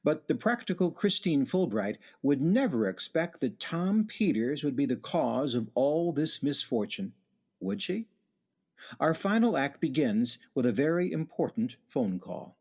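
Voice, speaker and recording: A man's voice: a little high, sing-song, measured pace, darkish tone, breathy edge, full character voice. A man acting as the arch, teasing narrator of a radio play, with theatrical delivery.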